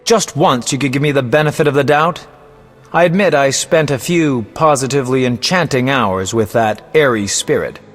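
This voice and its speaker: A man's voice: mid-range voice, normal speed, big-room sound, faint music behind, lightly acted. A man playing a vain, roguish poet and bard in a video game, speaking in a theatrical, florid, self-important way. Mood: indignant, pleading. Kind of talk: video game voice acting, storytelling.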